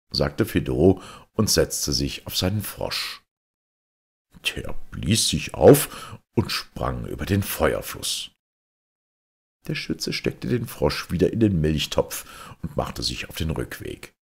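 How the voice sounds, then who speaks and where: A man reading a fairy tale aloud as an expressive scripted narration. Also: extremely low pitch, gravelly, husky. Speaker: storyteller reading fairy tales.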